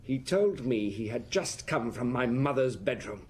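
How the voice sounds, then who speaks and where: An actor playing a remorseful aristocratic prodigal son in British radio drama, formal and confessional, with a British accent. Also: male voice, mid-range voice, animated, unhurried, lightly acted. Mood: ashamed, confessional. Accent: British English. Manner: formal, confessional, self-reproaching.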